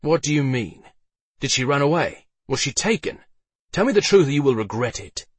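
A man's voice: fairly low pitch, moderate pace, fairly bright tone, dry recording, far from the mic. Proud knight for a fantasy storybook, read by a man: stern, demanding, formal, menacing. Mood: stern, threatening. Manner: commanding, formal. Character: proud, furious male knight, demanding and threatening.

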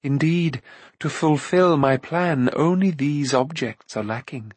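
A haughty sorceress, acted out by a male narrator in an audiobook, cold and cunning. The speech is formal and commanding, with slow, drawn-out threats. The recording is close and dry. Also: on the high side, slightly rough, no echo, voice pushed up. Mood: silky, subtle. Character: imperious, cunning, cruel female enchantress, coldly threatening. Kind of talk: audiobook character acting.

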